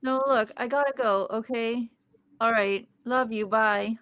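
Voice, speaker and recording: A woman's voice: a little high, steady tone, measured pace, a bit bright, no echo. A woman reading the part of a quirky, chatty young teacher at a casual table read. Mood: brusque. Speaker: podcast host reading a part.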